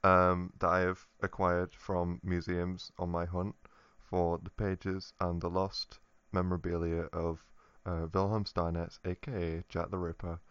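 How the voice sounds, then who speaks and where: A man with a low, smooth, resonant voice, riffing through comedic podcast banter. He rambles, laughs easily and trails off into ums. The audio is dull and lo-fi. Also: monotone, unhurried, few pauses, a bit bright. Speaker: podcast co-host.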